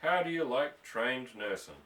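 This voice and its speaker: A man's voice: medium pitch, lively intonation, measured pace, fairly bright tone. A man voicing a comical, blunt and cheerful Irish farmhand with rustic talk in a storybook reading. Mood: teasing, blunt. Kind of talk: storybook character voice in audiobook. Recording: roomy echo, distant from microphone.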